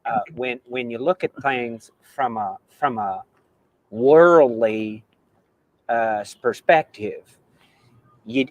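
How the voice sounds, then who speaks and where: An American man from the South chatting casually as co-host of a football fan show, animated and quick to laugh. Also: medium pitch, rough recording. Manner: animated, laughs often, slips into put-on accents. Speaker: podcast co-host.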